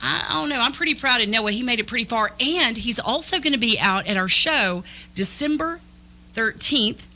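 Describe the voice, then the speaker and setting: A female morning radio co-host with a fairly high, bright voice. She chats casually and enthusiastically, stressing her points, close on a studio mic. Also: animated, moderate pace. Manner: enthusiastic, emphatic. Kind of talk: casual chatty radio banter. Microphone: close broadcast studio mic.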